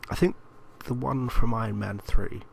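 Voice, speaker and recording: A man with a lowish, creaky voice chats casually as a podcast co-host. The home recording carries a faint noise. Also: fairly even intonation, moderate pace, a little mellow. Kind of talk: casual chat.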